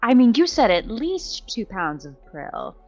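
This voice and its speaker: A woman with a mid-range but very animated voice banters as a tabletop game player. The recording is slightly lo-fi, with soft music behind her. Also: on the high side, unhurried. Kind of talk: casual roleplay banter. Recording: dull lo-fi, light music behind.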